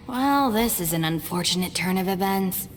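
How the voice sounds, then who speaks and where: A woman's voice: medium pitch, expressive, measured pace, close to an everyday voice. A woman playing a sensible, long-suffering young gang deputy in a video game, polite and matter-of-fact. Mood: resigned. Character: sensible young female deputy of a gang boss, exasperated, level-headed. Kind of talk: animated video game voice acting. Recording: long reverb with game music behind.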